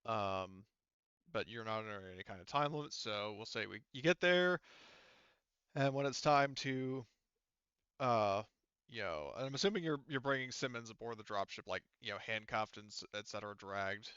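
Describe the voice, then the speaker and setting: A man's voice: on the low side, animated, normal speed, a bit bright, clean tone, dry recording, highs cut off. A man narrating a tabletop game as game master, explaining things in a casual, rambling, conversational way.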